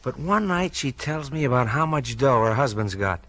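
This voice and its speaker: Actor as bright-voiced, rueful crooked American cop. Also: male voice, medium pitch, lively intonation, moderate pace, natural voice. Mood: rueful, confessing. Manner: slangy, folksy, rueful. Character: folksy crooked policeman, resigned to being caught. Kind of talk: 1940s American radio crime drama acting.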